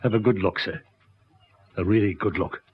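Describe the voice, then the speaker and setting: An actor playing a careful, plain-spoken police sergeant in a radio crime drama. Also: male voice, sits low, normal speed, husky. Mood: cautious, intent. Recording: dull, faintly noisy old radio recording.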